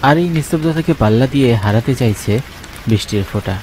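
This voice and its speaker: A man's voice: medium pitch, expressive, fairly quick, darkish tone. Man narrating horror over noisy rain. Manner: suspenseful storytelling. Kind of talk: dramatic first-person scripted horror narration. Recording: constant rain and thunder noise behind. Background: rain and thunder sound effects.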